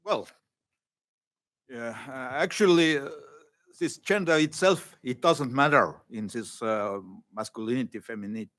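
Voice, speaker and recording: A male academic panelist answering a question, pausing often and searching for words with many fillers. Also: medium pitch, a bit bright. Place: conference panel room.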